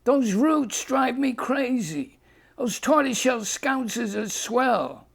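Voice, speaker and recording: A man voicing a female gossip columnist in a shrill, gushing falsetto. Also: expressive, takes it slowly, vocal fry, slightly rough, exaggerated voice. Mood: shrieking. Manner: high falsetto shriek.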